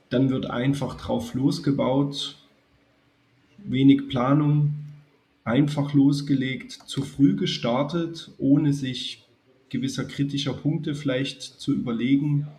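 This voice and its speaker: A man with a cold, addressing his viewers in a calm, chatty way as he narrates a hobby video. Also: medium pitch. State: has a cold. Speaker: model railway YouTuber. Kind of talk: casual spoken video narration.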